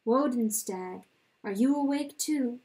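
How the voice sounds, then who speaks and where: A woman voicing a gentle, compassionate hen in a storybook reading, soft, tender, soothing and patient. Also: fairly high pitch, takes it slowly, husky, full character voice. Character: gentle compassionate mother-like hen, calm wife.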